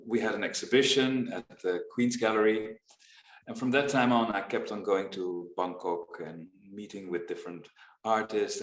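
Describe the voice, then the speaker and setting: A man on a video call who talks about his foundation's work in an unhurried, reflective way, with frequent pauses and ums. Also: sits low, reverberant. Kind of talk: casual video-call conversation.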